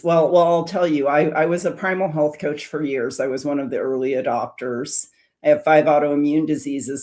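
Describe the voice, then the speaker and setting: A woman's voice: rumbling low, a little mellow. A woman speaking as an interview guest on a video call, earnestly telling a long story and often restarting her sentences. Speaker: guest, food addiction recovery specialist.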